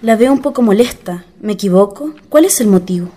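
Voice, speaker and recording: A woman's voice: mid-range voice, animated, fairly quick, mellow, a little hiss or hum, lightly acted. A woman playing a refined, courteous president's daughter in a radio drama, speaking formally and precisely. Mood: concerned. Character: refined president's daughter, polite, anxious, self-assured. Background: background music.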